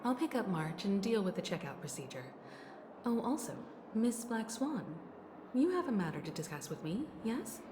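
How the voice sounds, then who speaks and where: A woman's voice: medium pitch, sing-song, normal speed, noisy background, close to an everyday voice. An actress voicing a composed, gracious woman who navigates a space crew in a video game. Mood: calm, assured.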